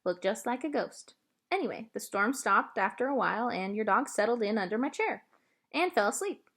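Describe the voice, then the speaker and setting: Kindly old storyteller played by a female reader, folksy and unhurried, with animated pitch, for a children's storybook. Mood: amused storytelling.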